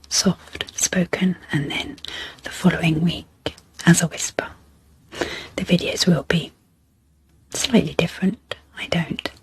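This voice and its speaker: A woman speaking very softly and breathily in an ASMR style, gently introducing guided relaxation with unhurried, pausing phrases. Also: fairly low pitch, low-quality recording. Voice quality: soft, breathy, half-whispered. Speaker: host, ASMR psychologist. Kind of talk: soft-spoken ASMR guided sleep meditation introduction.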